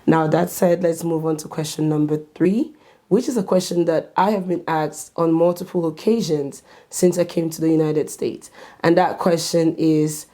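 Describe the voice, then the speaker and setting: A woman hosting a talk show, explaining things to the viewer in a measured, deliberate way. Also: sits low, steady tone, warm tone. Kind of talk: scripted-style explainer to camera.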